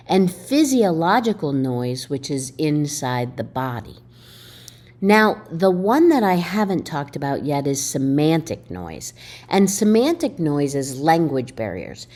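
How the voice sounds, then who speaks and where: A woman instructor giving a recorded video lecture in an emphatic way, asking her students questions as she explains. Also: low voice. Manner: animated, emphatic, asks the listener questions. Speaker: college instructor.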